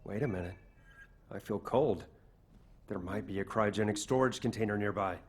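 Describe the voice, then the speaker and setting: An actor playing an earnest young male chef in a video game, husky and full of curious questions. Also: lowish voice, moderate pace, lightly acted. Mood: musing. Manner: expressive, questioning.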